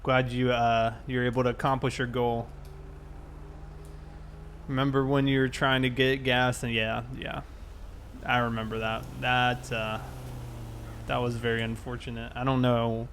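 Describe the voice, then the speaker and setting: Male streamer, mid-pitched, easygoing casual play-by-play to viewers over vehicle noise. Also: little pitch movement, measured pace, a bit bright. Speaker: game streamer, host. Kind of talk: casual live stream commentary while playing. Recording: clear background game vehicle noise. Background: game vehicle and ambience sounds.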